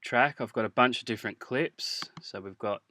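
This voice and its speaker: A man teaching music software in an informal tutorial, hesitant as he thinks aloud while demonstrating. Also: medium pitch, moderate pace. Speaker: music production instructor. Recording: clear background noise, mouse clicks.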